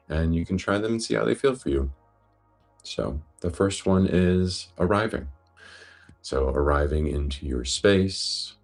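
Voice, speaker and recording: Very deep, creaky man guiding meditation. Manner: gentle, unhurried, reassuring. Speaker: meditation and breathwork guide. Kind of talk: guided meditation instruction.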